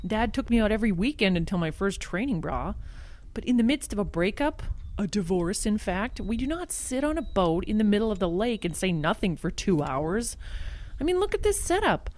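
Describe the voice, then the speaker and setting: A woman's voice: medium pitch, big pitch swings, normal speed, a little mellow, lightly acted. A woman playing a talkative, nosy small-town friend who fusses and presses in an audio drama. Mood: exasperated.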